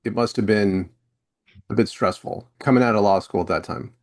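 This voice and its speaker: Low-voiced male podcast host. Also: normal speed, darkish tone. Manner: conversational, sums up and leads into questions. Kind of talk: casual interview talk.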